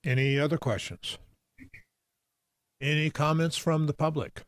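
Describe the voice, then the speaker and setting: A man's voice: on the high side, a little slow, dry recording. A man chairs a planning commission meeting and keeps things moving with short questions. The sound is dry and close. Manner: brief, procedural.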